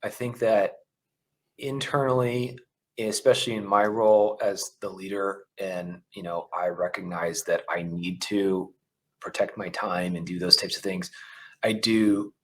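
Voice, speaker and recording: A company CEO talks in an interview with a fairly low voice. He speaks candidly and works through his thoughts aloud, with frequent fillers. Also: little pitch movement, unhurried, a bit bright, poor audio. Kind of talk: interview conversation.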